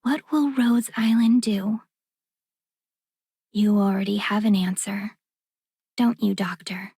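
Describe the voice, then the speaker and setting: A woman voicing a formal, enigmatic, probing female video game character with deliberate delivery. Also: mid-range voice, steady tone, mellow, breathy edge, no echo, studio quality, heavily acted. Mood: probing, solemn.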